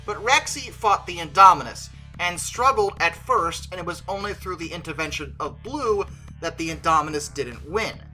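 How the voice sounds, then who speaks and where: This is an opinionated reviewer speaking conversationally in a bright, punchy voice of medium pitch. Key words get stretched and stressed for emphasis. Faint music plays behind the commentary. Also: animated, normal speed, low-quality recording. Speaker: video creator and host.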